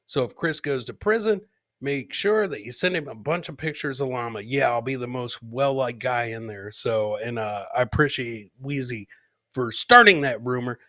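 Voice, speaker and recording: A man's voice: mid-range voice, normal speed, sharp tone. A man hosting a casual live comedy podcast, rambling and jokey, often repeating his own phrases. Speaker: podcast host.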